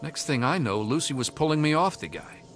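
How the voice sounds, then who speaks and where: A man's voice: fairly high pitch, animated, a little fast, fairly bright tone, slight background noise. An actor playing a troubled, earnest young man haunted by hunger, confiding hesitantly as video game dialogue. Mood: haunted recollection. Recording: music behind the voice.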